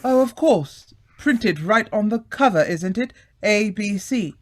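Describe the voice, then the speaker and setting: An actor playing a breezy, affable British gentleman in old-time radio drama style, hearty and polite, with old-fashioned phrasing. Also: high-pitched, lively intonation, slow. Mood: affable, curious.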